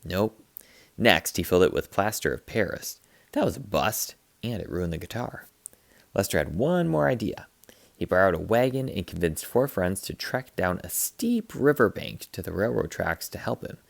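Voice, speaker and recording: A man reading a children's picture book aloud like a teacher, in an expressive, playful way. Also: low-pitched, moderate pace, vocal fry, no echo. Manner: expressive, playful, acts out sound effects.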